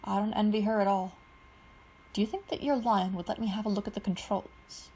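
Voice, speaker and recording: A woman's voice: medium pitch, fairly even intonation, brisk, mellow, a little hiss or hum, close to an everyday voice. A woman voicing a kind, wry middle-aged father who is a scientist.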